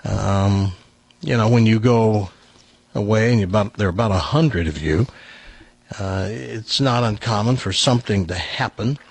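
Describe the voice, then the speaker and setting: Male radio host, husky, conversational and wry, close dry sound. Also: fairly low pitch, normal speed, no echo. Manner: joking, rambling, conversational. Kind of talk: casual talk show chat.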